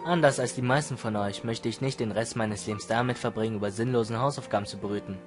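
A man's voice: medium pitch, quick pace, close to an everyday voice. Man playing a smug, grumpy teenage boy. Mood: grumbling. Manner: curt, teasing, dismissive. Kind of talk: fan audio drama dialogue in German. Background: music.

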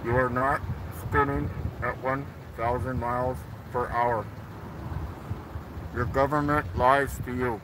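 A man's voice: medium pitch, steady tone, takes it slowly, pauses often. A middle-aged man with a Canadian accent, making blunt short statements in a casual vlog and calling out slogans to passers-by. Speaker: vlogger and street activist. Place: inside a parked or slow-moving car. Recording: car interior with vehicle noise.

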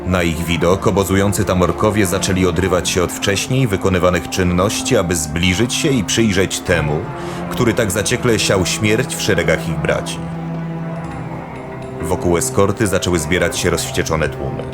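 A man narrating a scripted dark fantasy audio drama as the storyteller. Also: sits low, normal speed. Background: music.

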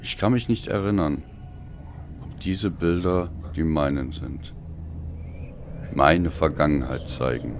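A man narrates a dark, dramatic story in a very deep voice. He takes his time, slow and solemn, with a brooding delivery. Clear background noise sits behind him. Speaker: audiobook reader.

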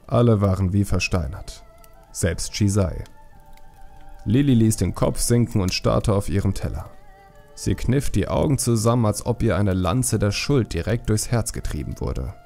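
A man's voice: on the low side, animated, moderate pace, gravelly, mellow, soft background music. A man narrating a scripted fantasy audiobook.

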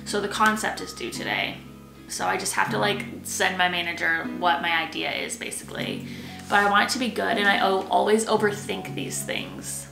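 Creaky-voiced young American woman vlogger. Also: mid-range voice, lively intonation, normal speed. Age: 20s. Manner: rambling, informal, self-interrupting. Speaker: lifestyle vlogger. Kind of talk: casual, chatty vlog talk to camera. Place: rooms in a home, indoors. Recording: echoey bare room, music underneath. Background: background music.

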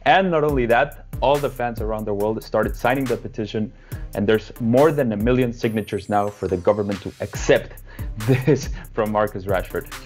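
A man's voice: fairly low pitch, moderate pace, a little mellow, faint music behind. A young man co-hosting a casual sports chat over a video call, wry and opinionated. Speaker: co-host. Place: home room, video call.

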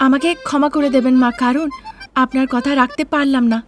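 A woman's voice: high voice, steady tone, fairly quick, soft background music, close to an everyday voice. A woman voicing an earnest, stubborn young daughter-in-law in a cartoon story, pleading yet firm as she argues. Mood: firmly refusing. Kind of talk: Bengali cartoon story acting.

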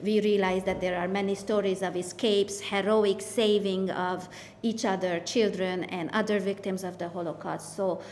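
A young woman moderating a panel discussion, asking questions with many "uh" fillers and a voice that trails off quietly. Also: mid-range voice, flat delivery, normal speed. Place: art gallery room. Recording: reverberant hall, faint noise.

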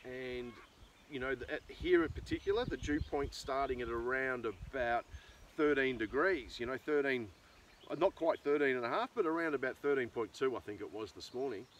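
Casting: middle-aged Australian male farmer, mid-pitched, rambling and informal, recorded at some distance outdoors with light natural noise. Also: moderate pace, a bit bright. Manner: rambling, thinking aloud. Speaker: farmer and video host. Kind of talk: casual unscripted vlog talk. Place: outdoors in an open rural pasture.

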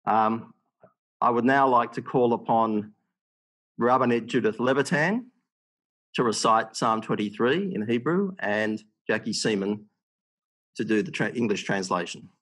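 A man's voice: mid-range voice, unhurried, a bit bright, rough recording. A man in his sixties, formally introducing speakers as master of ceremonies on a video call from an office. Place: office room, indoors. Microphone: video call, computer microphone.

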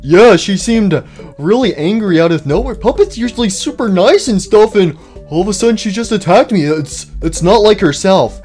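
A man's voice: high voice, lively intonation, normal speed, a little mellow. A man plays a flustered animatronic bear in a cartoon comedy. He is whiny and naive, stammering through his defensive pleas. Music plays behind him. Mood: confused. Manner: stammering, pleading. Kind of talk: improvised comedic character roleplay.

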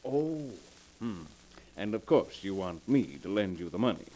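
A man's voice: lowish voice, big pitch swings, moderate pace, mellow, rough recording. An actor playing a cynical, condescending rich businessman in a sardonic, commanding 1940s radio melodrama style.

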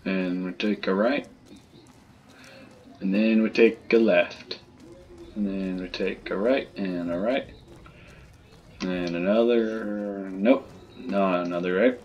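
A man giving casual, low-key let's play commentary, muttering in short phrases. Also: steady tone, slow, long pauses, dull audio, slight background noise. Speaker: gaming video host.

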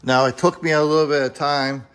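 A man explaining a do-it-yourself repair in a casual, unscripted, halting and plain-spoken way, recorded in a home garage on a handheld camera. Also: on the high side, steady tone, a bit bright, clear, resonant tone. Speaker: amateur DIY car repair video maker.

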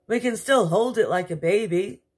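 A woman's voice: medium pitch, normal speed, a little mellow, breathy edge, pitched above the performer's own voice, lightly acted. A woman voicing an earnest, fretful storybook king in an emphatic, theatrical manner. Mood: reassuring.

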